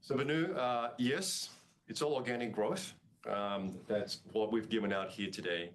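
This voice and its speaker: A man's voice: on the low side, measured pace, slight background noise. A man speaking as a company executive, answering on an earnings call with emphatic, repeated and restarted phrases.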